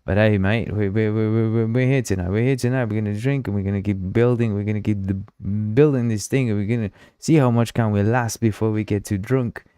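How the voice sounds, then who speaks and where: Man, Uruguayan accent, native Spanish speaker, rambling casual stream host, lowish voice, dry close sound. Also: fairly even intonation, a little fast, few pauses, dark tone, clean tone, dry recording. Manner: rambling, repeats himself, reads chat aloud; drinking while streaming. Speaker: livestream host. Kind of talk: casual live coding stream chat.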